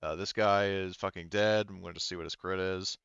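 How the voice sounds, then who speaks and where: Halting, low-voiced man running a game. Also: steady tone, gravelly, a bit bright, lo-fi sound, a little hiss or hum. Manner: halting, thinking aloud; sweary. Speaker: game master. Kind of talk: running a tabletop roleplaying game, casual narration.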